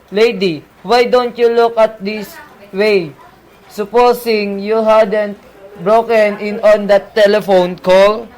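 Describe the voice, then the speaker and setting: A police sergeant with a notably high voice for a man, as acted in an amateur radio drama. He is patient and matter-of-fact, brushing off worries in a placating way. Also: darkish tone, poor audio. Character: patient, dismissive police sergeant taking a call. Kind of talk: amateur radio play acting.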